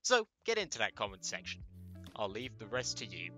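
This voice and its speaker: A man's voice: lowish voice, wildly swooping pitch, moderate pace. A man hosting a scripted comedic commentary in a sarcastic, mock-exasperated, sweary way. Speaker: host of an anime commentary video channel. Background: music under the voice.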